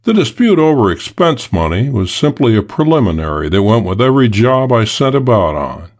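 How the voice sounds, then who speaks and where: A man reading a hardboiled detective audiobook as scripted narration, in a measured, matter-of-fact way. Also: mid-range voice, big pitch swings, a little mellow.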